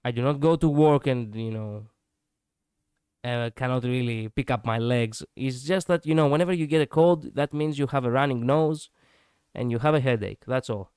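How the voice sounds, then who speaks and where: A man's voice: medium pitch, normal speed, darkish tone, clean tone, dry recording, studio quality. A male streamer chatting casually and reflectively, poking fun at his own slip-ups. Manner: musing, self-deprecating, laughs at own slips. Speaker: VTuber streamer.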